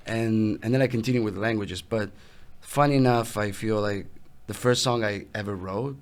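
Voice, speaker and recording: This is a young man with an Italian accent, answering interview questions about his music. His voice sits low and has a gravelly edge. He talks in a relaxed, halting way, often trailing off and restarting. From Italy. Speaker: guest musician. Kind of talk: casual interview.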